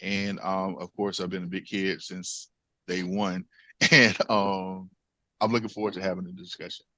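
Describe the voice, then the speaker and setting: A man hosts a radio panel, talking in a measured, steady way. His voice sits very low but has a bright, forceful edge. The sound is dry and close. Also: no room sound. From Mississippi, US South. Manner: measured, with frequent fillers. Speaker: radio show moderator. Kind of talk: hosting a panel discussion.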